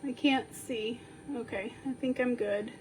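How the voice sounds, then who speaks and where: A woman sniffling a little as she slowly talks through a card-making tutorial, counting measurements aloud while she works. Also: on the high side, steady tone, noisy background. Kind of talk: step-by-step crafting tutorial.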